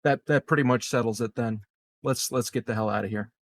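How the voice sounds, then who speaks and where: A man's voice: medium pitch, a little fast. A man playing a tabletop roleplaying game, talking casually through decisions in character.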